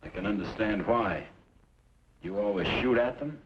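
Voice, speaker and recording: A terse ex-soldier drifter of the Old West, played by a man. His voice is very low, his delivery dry and wry. It comes from a dull old radio drama recording. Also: moderate pace, slight background noise, natural voice. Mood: wry, calm. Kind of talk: old-time western radio drama acting.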